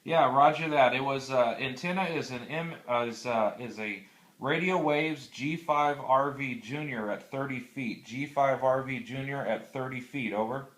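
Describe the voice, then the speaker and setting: An American man talking casually on amateur radio, explaining methodically and haltingly, with radio procedure words. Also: medium pitch, fairly bright tone. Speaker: classroom teacher.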